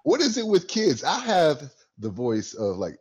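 Laid-back guy cohosting a sports podcast, kinda raspy, dry jokes. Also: a little high, wildly swooping pitch, measured pace, a little mellow. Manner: laid-back, wry, joking. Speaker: podcast co-host. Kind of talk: casual sports podcast chat.

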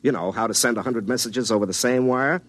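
A man's voice: mid-range voice, fast, natural voice. An actor playing a folksy young American telephone linesman and tinkerer in classic radio drama, stammering and correcting himself as he rambles. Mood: eager, sheepish. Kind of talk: classic radio drama acting and first-person narration.

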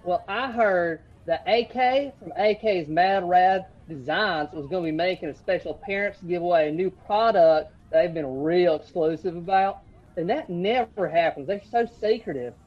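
An actor playing a folksy, eager small-town monster truck fan in a radio comedy. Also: male voice, sits high, normal speed, lo-fi sound.

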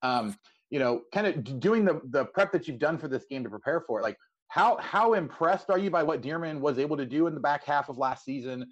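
Fast-talking man hosting a sports podcast. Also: mid-range voice, highs cut off. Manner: wordy, stammering lead-ins to questions. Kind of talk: casual sports interview.

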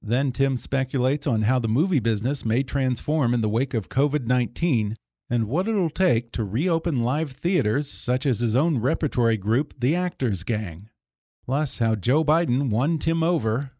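A man's voice: medium pitch, mellow, dry recording. Man hosting, very dry sound. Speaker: podcast host and interviewer. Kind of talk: scripted introduction narration.

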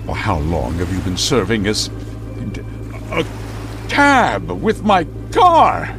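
Pompous, wildly swooping radio superhero. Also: male voice, fairly low pitch, wildly swooping pitch, takes it slowly, fairly bright tone, faint music behind, full character voice. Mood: indignant. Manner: pompous, grandiose declaiming. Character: pompous, theatrical costumed vigilante superhero man, easily outraged. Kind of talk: 1940s radio serial acting.

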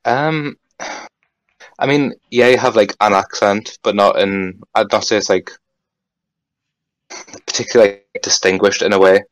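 A young man with a Geordie accent talks in a low, deep voice, rambling loosely and dropping "like" into nearly every phrase. The sound is dry and close, with no room around it. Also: fairly even intonation, unhurried, pauses often, no echo, poor audio. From North East England. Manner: rambling, full of "like", swears freely. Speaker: guest. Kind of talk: casual podcast chat.

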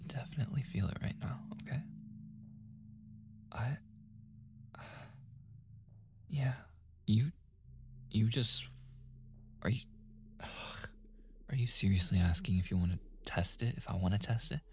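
A man playing a flustered, submissive young boyfriend in a soft-spoken ASMR roleplay, stammering and sighing, often slipping into whispers. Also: mid-range voice. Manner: stammering, sighing, breathy asides, protesting. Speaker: audio roleplay voice performer.

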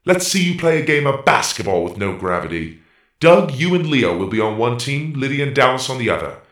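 A man's voice: medium pitch, sing-song, a little fast, a bit bright. A man voicing a formal, echoing robot tour guide. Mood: commanding. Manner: formal, precise, announcing. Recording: roomy, echoing voice.

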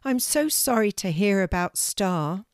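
A woman voicing a kind young girl who speaks gently and soothingly. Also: medium pitch, lively intonation, unhurried, dry recording, clean studio sound, close to an everyday voice. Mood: gentle.

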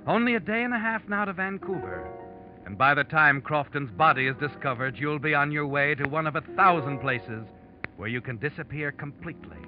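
A man performing an ominous narrator from 1940s radio suspense, knowing and taunting as he speaks to the guilty man. His voice sits a little high, and dramatic music runs behind him on a dull vintage recording. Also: lively intonation, brisk, close to an everyday voice. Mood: knowing, insinuating. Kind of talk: 1940s radio suspense narration, addressing the protagonist in second person.

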